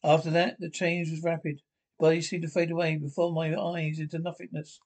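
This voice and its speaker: A man narrating a radio thriller script aloud, reading haltingly and stumbling over the text. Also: a little high, monotone, a little mellow.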